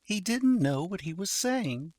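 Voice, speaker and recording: A man voicing a gentle, worried female mouse in a storybook, earnest and questioning, with faint background noise. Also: sits high, big pitch swings, a little slow, pitched above the performer's own voice.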